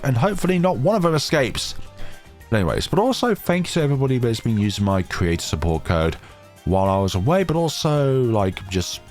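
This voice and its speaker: A young man chatting casually over his gameplay in a rambling, self-deprecating way, his voice still deeper than usual as he recovers from a chest infection, recorded on a home computer microphone. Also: a little mellow. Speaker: gaming channel host.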